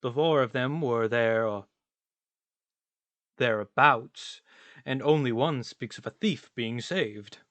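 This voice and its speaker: Man playing a slow, pedantic, brooding tramp. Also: medium pitch, fairly bright tone, dry recording. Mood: pondering. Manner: emphatic, ponderous, insistent. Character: brooding, pedantic, exasperated tramp who philosophizes. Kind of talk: radio play dialogue.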